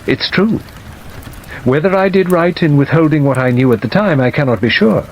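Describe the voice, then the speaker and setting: A male narrator plays a stern older woman from a classic mystery audiobook. She is brisk, dry and precise, with firm, animated swings of pitch. Steady rain runs under the reading. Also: lo-fi sound, a little hiss or hum. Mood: grave, certain. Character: stern, forthright older woman, a former governess, dry and unsentimental. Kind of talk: audiobook character dialogue.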